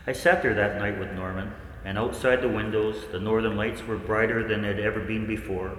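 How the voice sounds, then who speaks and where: A deep-voiced, haunted scientist played by a man tells of cosmic horror in a 1940s radio play style. His delivery is dramatic and deliberate, ringing through a reverberant hall over faint noise. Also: steady tone, a bit bright, lightly acted. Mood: haunted, brooding.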